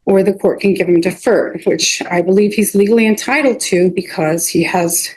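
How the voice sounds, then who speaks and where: A woman argues as a defense lawyer before a judge, at a middling pitch. Her delivery is halting, with phrases begun, dropped and repeated as she puts her points on the record. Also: a little mellow, husky, poor audio. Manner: halting, repetitive, restarting phrases often. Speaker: defense attorney. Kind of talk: addressing a judge in a court hearing. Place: courtroom.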